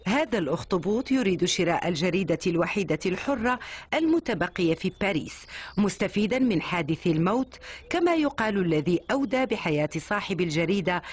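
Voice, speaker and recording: A woman's voice: fairly low pitch, lively intonation, quick pace, slightly rough. A woman voicing a forthright maid and companion to a duchess, earnest and formal, in an Arabic cartoon dub. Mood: indignant.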